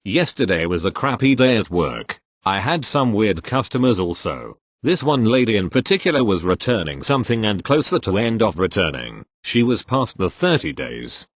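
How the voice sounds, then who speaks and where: A man with a fairly low voice narrates retail stories, reading steadily and even speaking punctuation marks aloud. His pitch still moves a lot from line to line, and the sound is close and dry with no room echo. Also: normal speed, hardly any pauses. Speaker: narrator reading online posts. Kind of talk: scripted narration.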